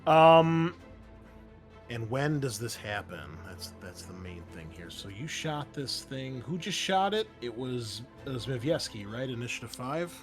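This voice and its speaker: Male game master, medium pitch, casual and thinking out loud, music underneath. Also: very animated, a little slow. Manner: casual, thinking aloud through rules. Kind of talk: running a tabletop roleplaying game. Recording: music behind.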